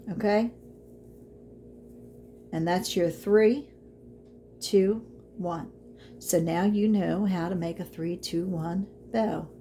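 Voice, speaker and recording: A woman's voice: medium pitch, warm tone, slight background noise. A woman, losing her voice, chatting through a craft tutorial in an encouraging way. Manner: chatty, encouraging, says y'all a lot. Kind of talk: casual how-to vlog.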